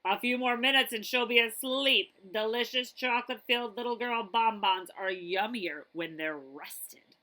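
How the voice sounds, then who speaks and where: A woman voicing a sly, hungry storybook dinosaur with a gloating, drawn-out, theatrical delivery. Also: on the high side, pushed and bright, rough recording.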